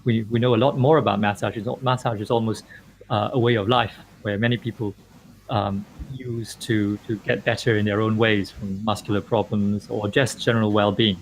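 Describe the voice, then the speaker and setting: A man's voice: on the low side, fairly even intonation, normal speed, a little hiss or hum, low-quality recording. A man from Malaysia hosting an online interview, reading a formal introduction and then asking questions, with frequent fillers and restarts. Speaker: host and interviewer.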